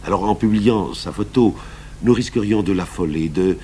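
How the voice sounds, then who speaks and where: Seasoned commissioner for radio play, male actor: deep, calm, deliberate, wryly stubborn; slight hum on the recording. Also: natural voice. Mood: calm, firm. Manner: measured, deliberate, deferential but firm. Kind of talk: French radio drama acting. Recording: hummy, noisy old radio broadcast.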